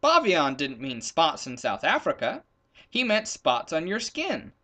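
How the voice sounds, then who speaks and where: A practical, persuasive storybook hunter, played by a man reading aloud. He gives brisk instructions and advice with a hugely varied, animated pitch. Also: full character voice. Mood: persuading. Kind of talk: storybook character voice in a read-aloud.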